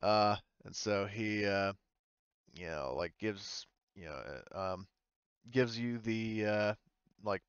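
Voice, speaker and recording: A man's voice: on the low side, takes it slowly, clear, resonant tone, no echo, dull audio. A man running a tabletop game as game master, narrating casually and off the cuff in colloquial language.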